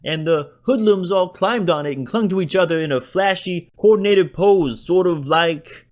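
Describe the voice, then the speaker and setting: A man's voice: sits high, moderate pace, darkish tone, voice pushed up, lightly acted. A man putting on a whiny, irate shopkeeper with a thick city accent. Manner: whining, complaining.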